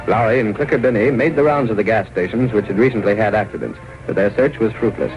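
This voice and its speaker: A man's voice: fairly low pitch, quick pace, lightly acted. An American man giving serious scripted narration for an old-time radio serial. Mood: grave, urgent. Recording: dull old radio recording. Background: music.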